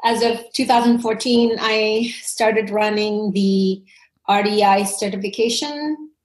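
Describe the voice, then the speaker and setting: A woman's voice: medium pitch, steady tone, slow pace, roomy sound, poor audio. A woman in her forties from Indonesia, talking chattily as an interview guest, laughing often and restarting her sentences. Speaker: parent coach, guest. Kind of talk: casual interview answers.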